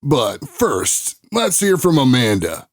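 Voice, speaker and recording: A man playing a brash, skeptical radio show host in an audio drama, narrating in a drawn-out, wry, showy way. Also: mid-range voice, big pitch swings, no echo, studio quality, exaggerated voice.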